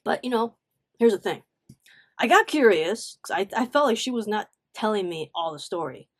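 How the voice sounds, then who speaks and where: Expressive woman telling a personal story. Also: mid-range voice, moderate pace. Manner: animated, emphatic, acts out reported dialogue; informal slangy talk with swearing. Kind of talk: casual confessional storytime chat.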